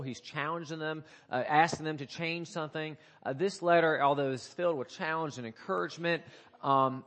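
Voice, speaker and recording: A man serving as pastor, preaching to his congregation with a scratchy voice from a coming cold, talking haltingly with many fillers and restarts. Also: mid-range voice, fairly bright tone. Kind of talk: sermon. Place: church sanctuary.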